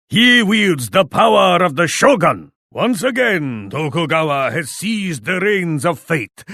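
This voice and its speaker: An actor playing a pompous, menacing Japanese shogun warlord in a video game, speaking with formal, scornful deliberation. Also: male voice, sits high, a bit bright, no room sound, studio quality, heavily acted. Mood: imperious anger. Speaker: enemy leader taunting the player. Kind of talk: theatrical video game villain voice lines.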